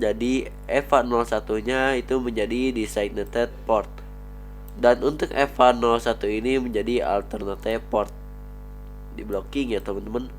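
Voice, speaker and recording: A man with a cold explaining network switch configuration in a screen-recorded tutorial, talking viewers through each command as he types. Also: mid-range voice. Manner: addresses viewers as friends, talks while typing commands. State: has a cold. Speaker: networking instructor.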